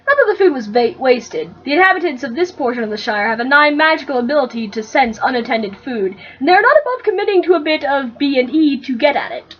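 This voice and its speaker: A woman's voice: high-pitched, expressive, fast talker, hardly any pauses, muffled recording. A woman co-hosting a fan fiction reading, moving between story narration and casual, joking chat.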